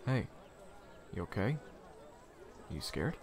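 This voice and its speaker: A man playing a kind, casual, gently teasing young man in an audio drama. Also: on the low side, big pitch swings, takes it slowly, creaky, a little hiss or hum, full character voice. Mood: caring.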